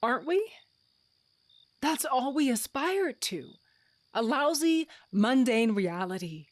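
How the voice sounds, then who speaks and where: A woman's voice: sits high, very animated, takes it slowly, no room sound, exaggerated voice. A woman playing an earnest, frustrated wife and mother in an audio drama, pleading and heartfelt. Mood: frustrated, wistful.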